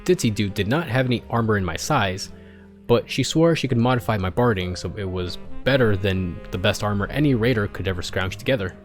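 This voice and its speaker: Low-voiced man reading a story over music. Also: a little fast. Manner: stumbles and repeats phrases. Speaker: reader of fan fiction, channel host. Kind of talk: expressive amateur story reading with casual asides.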